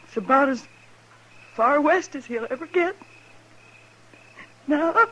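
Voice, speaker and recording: A woman playing a grieving frontier widow in a 1950s radio western, speaking in a halting, subdued way on an old recording. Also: high-pitched, lively intonation, a bit bright, dull audio, full character voice. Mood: grieving, resigned.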